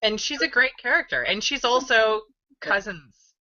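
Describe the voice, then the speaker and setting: A woman's voice: expressive, moderate pace, sharp tone. Rambling woman on a headset mic. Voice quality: deep voice. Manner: rambling with restarts, laughing. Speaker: podcast co-host. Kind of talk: casual chat. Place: room with curtains. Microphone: headset on a video call. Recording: dull lo-fi video call audio.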